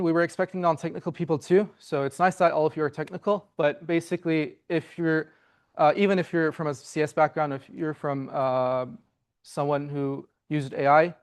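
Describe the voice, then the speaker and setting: A man's voice: a little high, smooth. Earnest young man presenting. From Turkey. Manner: earnest and explanatory, with frequent "uh" fillers. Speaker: club presenter. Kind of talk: informal presentation to a student club.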